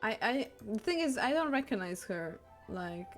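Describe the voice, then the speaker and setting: A woman's voice: fairly high pitch, big pitch swings, normal speed. Young woman streamer, chatty, music behind. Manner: chatty, reading and answering chat. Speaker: livestreamer. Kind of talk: casual reaction stream chat.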